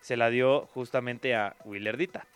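A man's voice: medium pitch, steady tone, moderate pace, clean tone, no echo. A man co-hosting a casual football talk show, joking and teasing. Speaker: sports show co-host.

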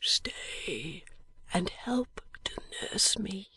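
A weak young gentleman near death, as a woman reader puts him on, his words barely breathed out in a husky whisper. He speaks slowly and haltingly, straining to get each phrase out. The sound is close and dry. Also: medium pitch, sing-song, no echo, voice pushed up, full character voice. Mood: faint whisper. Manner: faint, halting, urgent. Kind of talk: audiobook character voice.

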